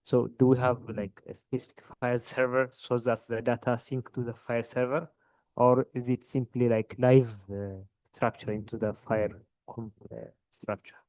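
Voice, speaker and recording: A man from Ethiopia with an Ethiopian accent, asking a question at a conference in a halting way. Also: mid-range voice, little pitch movement, darkish tone, no room sound, low-quality recording. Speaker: audience questioner, interoperability lead.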